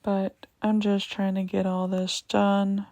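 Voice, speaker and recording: A young woman with a raspy voice giving a casual vlog voiceover, hesitant, with many ums, and repeating herself. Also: medium pitch, monotone, takes it slowly, dark tone, dry recording, lo-fi sound. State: raspy voice, going in and out. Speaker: vlogger.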